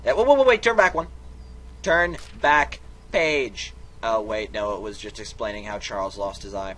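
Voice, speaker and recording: A man's voice: on the low side, lively intonation, normal speed, pushed and bright. A man giving a mock-dramatic storybook reading of a text game, often breaking into casual, self-interrupting asides. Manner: theatrical, comically self-interrupting. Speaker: reader and player of a text game.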